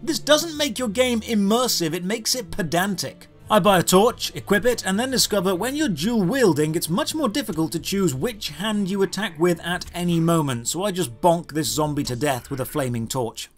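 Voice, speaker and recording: A man's voice: high-pitched, animated, moderate pace, hardly any pauses. A man narrating a scripted comedic video game review with sarcastic, exasperated emphasis. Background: background music.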